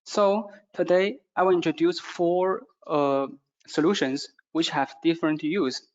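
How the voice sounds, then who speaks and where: A man who is a non-native English speaker gives a product presentation in a halting way, with frequent hesitations. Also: on the high side, steady tone, dull audio. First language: not English.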